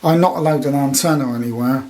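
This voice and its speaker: A man chatting casually and unscripted as a hobby vlogger, in a halting, rambling way. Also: medium pitch, darkish tone. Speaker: vlogger reviewing CB radio gear. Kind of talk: casual unscripted chat to camera.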